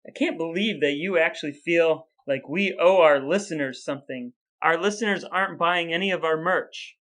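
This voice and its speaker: Male podcast host, higher-pitched and bright, playful mock-grand delivery, music underneath. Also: normal speed. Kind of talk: casual podcast banter with scripted sign-off.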